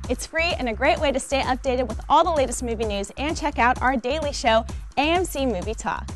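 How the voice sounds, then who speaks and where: A woman with a high voice reads a scripted promotional outro as an announcer. Music plays lightly behind her. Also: lively intonation, brisk.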